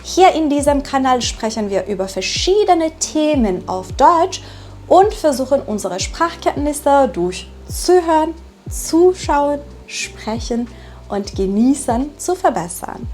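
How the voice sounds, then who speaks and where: Woman from Iran, teacher-vlogger, high voice, deliberate and unhurried, soft music under her. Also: very animated. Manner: deliberate, with frequent tag questions. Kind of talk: slow, clear vlog narration for learners.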